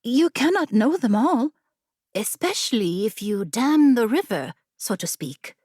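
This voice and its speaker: A woman plays a clever female scholar from a fantasy storybook, earnest and persuasive as she bargains. Her voice is fairly high and swoops with eager expression, in a dry, close recording. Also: big pitch swings, a little slow, darkish tone, breathy edge, no room sound, full character voice. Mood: careful persuasion. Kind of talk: fantasy audiobook character acting.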